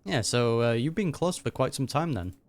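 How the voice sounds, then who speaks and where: A man's voice: mid-range voice, lively intonation, moderate pace, noisy background. A young man giving casual, slangy reaction commentary on a video game as he plays. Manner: laid-back, slangy. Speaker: gaming reactor.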